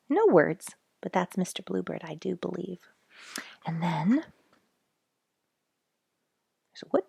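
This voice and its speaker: A woman storyteller reading a children's book expressively, with deliberate pauses and casual asides. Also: on the low side, vocal fry, husky, no echo, studio quality.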